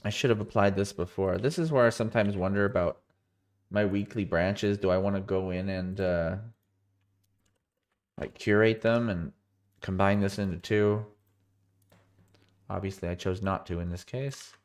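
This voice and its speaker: A man with a cold and a somewhat low voice talks through code on a live stream. He sounds tired, thinks aloud as he goes, and often catches and corrects himself mid-sentence. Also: normal speed, long pauses, a little mellow. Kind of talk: live coding walkthrough.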